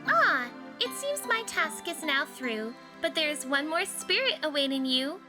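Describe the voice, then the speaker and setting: A solemn spirit pony acted by a woman in an audio story. Its high voice is formal and theatrical, with music clearly audible behind it. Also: sing-song, a little slow. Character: mystical pegasus or pony spirit, solemn, rhyming guide. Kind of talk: dramatized fan fiction reading.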